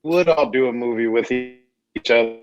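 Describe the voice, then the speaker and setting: A man's voice: mid-range voice, moderate pace, highs cut off, loud music behind the voice. A man, an actor on a cast panel, bantering in a jokey, casual way.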